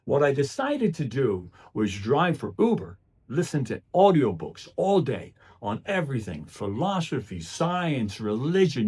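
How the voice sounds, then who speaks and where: A man's voice: medium pitch, darkish tone, breathy edge. A man delivering a motivational speech in an earnest, emphatic way, often posing rhetorical questions. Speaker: public speaker.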